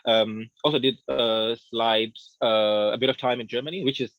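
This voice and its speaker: A man in his thirties from Zimbabwe talks easily as a radio guest, laughing often and circling back over his words. His voice sits at an ordinary middle pitch. The recording is dry and close, with no room sound. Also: moderate pace, no echo. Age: 30s. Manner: relaxed, chatty, laughs easily, repeats words. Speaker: author and guest. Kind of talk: casual radio interview.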